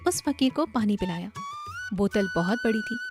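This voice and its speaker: A woman's voice: medium pitch, sing-song, normal speed, over music. A woman narrating a scripted moral story as a storyteller.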